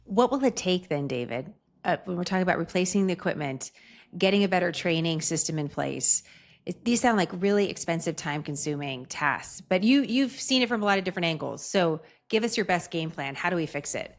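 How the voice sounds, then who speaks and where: A woman's voice: on the low side, animated, brisk. An American woman hosting a news interview, asking probing questions in an informal, conversational way.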